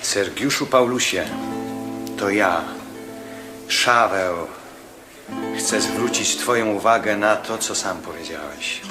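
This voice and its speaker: A man's voice: lowish voice, over music. An actor playing a stern male apostle who speaks firmly and earnestly in a radio play. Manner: firm, earnest, measured.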